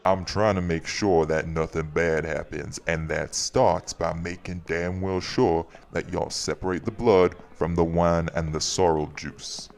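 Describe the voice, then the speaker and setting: A man's voice: steady tone, measured pace, few pauses, a little mellow. A man playing a bossy, fussing party host and shopkeeper in an audio drama. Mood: stern, fussing. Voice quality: very deep, gravelly. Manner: scolding, exasperated, folksy. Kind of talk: audio roleplay acting.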